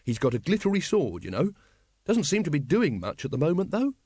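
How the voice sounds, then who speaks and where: Male narrator as young watchman, earnest, politely wary, literal-minded, animated intonation, storybook fiction. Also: a little high, a little fast, slightly rough, no echo, clean studio sound, voice pushed up, heavily acted.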